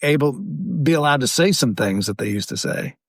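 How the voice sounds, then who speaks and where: A man's voice: mid-range voice, lively intonation, moderate pace, no echo, clean studio sound. A man co-hosting a casual podcast in an agreeable, joking conversational manner. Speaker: podcast co-host. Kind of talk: casual chat.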